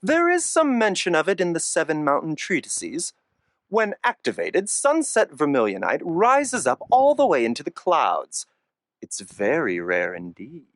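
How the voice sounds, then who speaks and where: Genteel, very animated herbalist. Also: male voice, on the high side, unhurried, no echo, heavily acted. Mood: informative, courteous. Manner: polite, expressive, genteel. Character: courteous, soft-mannered male herbalist shopkeeper, unhurried, knowing. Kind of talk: video game voice acting.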